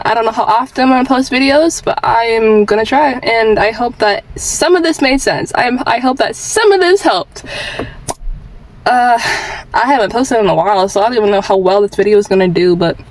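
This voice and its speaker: A woman's voice: on the high side, brisk, a little hiss or hum, poor audio. A twenty-year-old woman vlogging with candid personal advice in an informal, rambling, earnest way, repeating phrases for emphasis.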